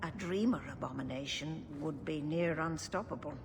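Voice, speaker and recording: Elven keeper for a fantasy game: low, measured, solemn teacher. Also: female voice. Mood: grave, instructive. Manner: formal, measured, grave. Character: grave, wise female elven keeper, a mystic teacher. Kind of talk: video game voice acting. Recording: noisy game ambience behind.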